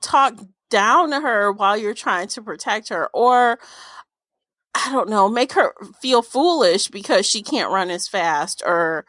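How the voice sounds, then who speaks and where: A woman's voice: medium pitch, expressive, unhurried, a bit bright, dry recording. A woman discussing a book casually as a podcast co-host, blunt and opinionated, with informal language.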